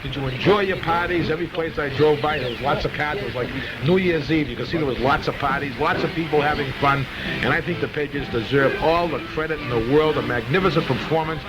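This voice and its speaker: A man's voice: on the high side, fast talker, a loud crowd. A man hosting a casual sports call-in radio show, opinionated and emphatic, often repeating himself. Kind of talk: live sports talk radio, casual chat with callers. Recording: noisy vintage radio broadcast recording.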